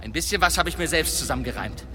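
An actor playing a taunting, suspicious and defiant demon hunter in a German fantasy radio drama. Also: male voice, on the high side, lively intonation, fast talker, pushed and bright. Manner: taunting, accusatory. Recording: long cavernous reverb, music underneath.

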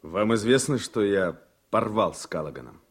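A man's voice: on the low side, sing-song, normal speed, husky. An actor playing a troubled, principled man in a radio drama, earnest and hesitant in his speech. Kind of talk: Soviet-era radio drama acting.

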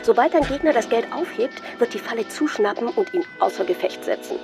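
A woman's voice: fairly high pitch, expressive, fairly quick, vocal fry, over music. An actress playing a woman who presents dangerous gifts in declarative, letter-like lines in a radio play. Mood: sweetly menacing.

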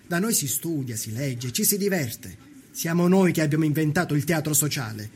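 An actor playing a proud, fervent young Sicilian workers' leader in a dramatised documentary, speaking in declarative, rhetorical phrases. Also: male voice, on the high side, expressive, normal speed, darkish tone, slight background noise, natural voice.

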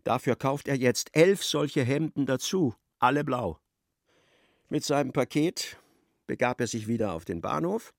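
A male narrator reads literary prose for a radio drama. His voice is medium in pitch, and the sound is very dry, with no room around it. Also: expressive, unhurried, vocal fry, no echo.

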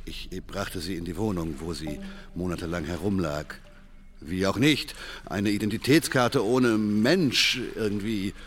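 A man acting a middle-aged fisherman and father in a radio drama, an earnest, haunted witness who tells his story haltingly and insistently. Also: deep voice, fairly bright tone. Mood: somber, measured. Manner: halting, deliberate, repetitive storytelling.

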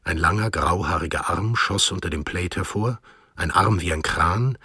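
An actor playing the narrator of a radio drama, telling a thriller in a measured, literary way. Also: male voice, sits low, sharp tone, husky, no echo, clean studio sound, exaggerated voice. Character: measured, observant male storyteller of a thriller. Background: music.

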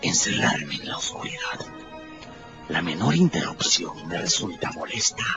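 An irritable, imperious man who wants to be left alone. His low voice swings very widely in formal, curt phrases. It is a muffled radio drama recording with music behind. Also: big pitch swings, measured pace, creaky, exaggerated voice. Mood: curt, tense. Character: secretive, irritable male stranger, a bandaged researcher seeking solitude.

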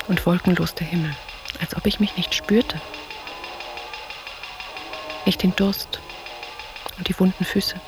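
An actress playing a middle-aged, weary travelling stage woman, speaking an introspective inner monologue in sparse fragments for a radio play. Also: lowish voice. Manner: sparse, fragmentary, reflective phrases.

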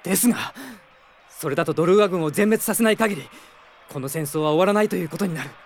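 An actor playing a young, idealistic prince in an anime-style radio drama, speaking with polite, earnest formality. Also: male voice, sits high, quick pace, slight background noise. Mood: pleading, impassioned.

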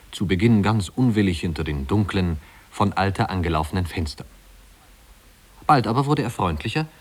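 Low-voiced wry storyteller. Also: male voice, husky. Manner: leisurely, wry, conversational storytelling. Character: man narrator recalling his small-town youth, wry storyteller. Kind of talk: 1950s German radio drama narration.

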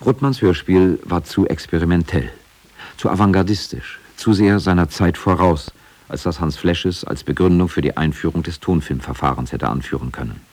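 A man's voice: low-pitched, normal speed, a little mellow. A man reading scripted narration for a radio documentary.

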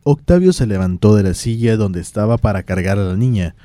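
A man's voice: lowish voice, animated, brisk, mellow, no room sound, studio quality. A man giving scripted storytelling narration for a radio drama.

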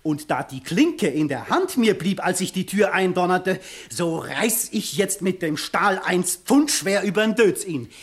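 An actor playing a hot-headed young village lad who tells his story excitably and earnestly in a 1960s German radio play. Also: sits high, unhurried, few pauses. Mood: agitated. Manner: excitable, earnest storytelling in rustic, old-fashioned phrasing.

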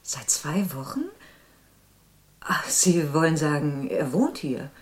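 A woman's voice: deep voice, slow, breathy edge, heavily acted. An actress playing a reserved, courteous woman receiving a visitor in an audio drama. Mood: reserved, puzzled.

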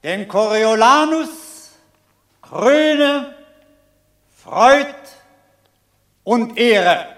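A Roman senator in lofty radio-drama declamation. His high voice swings widely and stretches each word very slowly and ceremonially. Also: expressive, a bit bright, full character voice. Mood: acclaiming. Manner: ceremonial, drawn-out. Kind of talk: classic German radio play, declamatory.

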